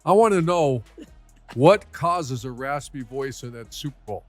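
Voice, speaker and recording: A man's voice: medium pitch, lively intonation, a little slow, dry recording, soft background music. A man, a football coach co-hosting a sports podcast, breaking down plays emphatically and repeating key numbers. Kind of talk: casual sports analysis.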